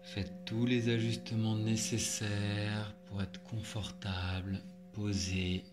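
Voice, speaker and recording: A man with a lowish voice guides a yoga relaxation session. He speaks very slowly and calmly, leaving long pauses between measured instructions. Prominent meditative music with singing bowl tones plays behind him.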